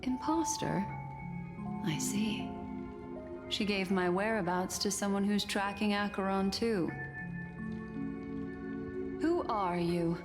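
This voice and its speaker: An actress voicing a poised, mysterious keeper of memories in a video game, composed and deliberate. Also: female voice, mid-range voice, lightly acted. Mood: guarded, curious. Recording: long reverb over background music.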